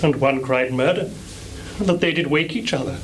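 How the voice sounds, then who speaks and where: A male stage actor playing a tormented, guilt-ridden, ambitious murderer in a measured, weighty Shakespearean delivery with long pauses, recorded live in a theatre. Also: medium pitch, a bit bright, a little hiss or hum, close to an everyday voice, low-quality recording. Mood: shaken, haunted.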